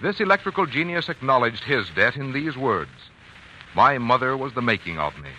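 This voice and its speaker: A man's voice: medium pitch, sing-song, moderate pace, pushed and bright. A man working as a radio announcer, reading a polished, formal 1940s sponsor message. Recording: dull, faintly noisy 1940s radio broadcast.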